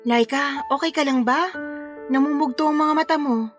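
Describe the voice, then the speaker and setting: An actress playing a caring wedding planner and concerned confidante, speaking earnestly in a Filipino radio drama. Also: female voice, sits high, normal speed, over music, close to an everyday voice. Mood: concerned, soothing. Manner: soothing, earnest, advising.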